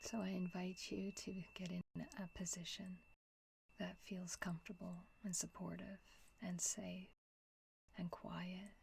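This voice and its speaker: A woman leading a guided meditation in a soft, whispery, gentle voice with long pauses. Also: fairly low pitch, flat delivery, vocal fry, a little hiss or hum.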